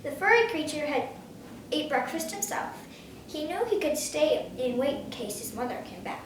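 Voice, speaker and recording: A woman's voice: high-pitched, big pitch swings, moderate pace. A ten-year-old girl reading a children's storybook aloud. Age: child, ten years old. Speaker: story reader. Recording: very echoey room, distant mic, faint noise.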